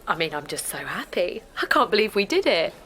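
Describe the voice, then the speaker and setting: A woman's voice: on the low side, very animated, brisk, a bit bright, slight background noise, rough recording. An actress playing an eager, earnest English woman in a naturalistic audio drama. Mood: happy, reflective. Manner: friendly, earnest. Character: eager, friendly young mother and campaigner.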